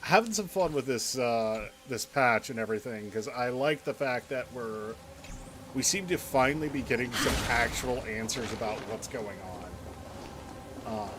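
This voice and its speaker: A man's voice: mid-range voice, normal speed. Chatty man streaming, faint noise behind. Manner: rambling, informal, chatty. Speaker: game streamer. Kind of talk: casual live stream chat and reactions.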